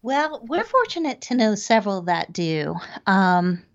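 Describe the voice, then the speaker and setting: A woman's voice: mid-range voice, sing-song, measured pace. A middle-aged woman answering as an interview guest in a thoughtful, tentative conversational way. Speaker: interview guest, psychic medium. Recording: dull lo-fi call audio.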